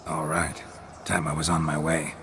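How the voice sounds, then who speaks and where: A man playing a gruff, laconic middle-aged monster hunter in a video game, terse and dry-witted, with a gravelly voice. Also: extremely low pitch, normal speed, noisy background. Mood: resolute. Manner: terse, deadpan.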